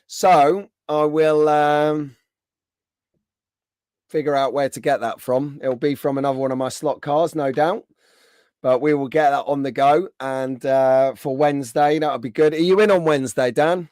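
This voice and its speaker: Chatty man, slightly hoarse. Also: a little high, steady tone, unhurried, a little mellow, no echo, studio quality. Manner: chatty, greets viewers by name. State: slightly hoarse, under the weather. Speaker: live stream host. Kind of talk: casual live stream chat.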